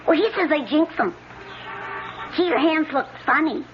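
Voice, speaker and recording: Very high-voiced nosy little boy. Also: lively intonation, moderate pace, soft background music. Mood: curious, chatty. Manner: blunt, eager, colloquial questioning. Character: chatty, curious young boy at a park. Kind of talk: old-time radio drama acting. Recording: muffled old radio recording, faint noise.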